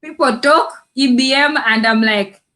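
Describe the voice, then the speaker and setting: Slow-talking woman from Uganda, laughing often. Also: high voice, bright, forceful tone. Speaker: livestream co-host with her own channel. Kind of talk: casual chat about personal experience.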